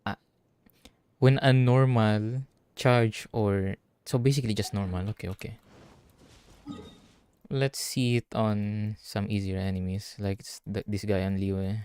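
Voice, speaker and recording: A man's voice: fairly low pitch, fairly even intonation, unhurried, pauses often, a little mellow, clean tone, dry recording. A man from the Philippines with a Filipino accent, thinking aloud in casual livestream game commentary. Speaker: streamer. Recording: game music behind the voice. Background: video game music.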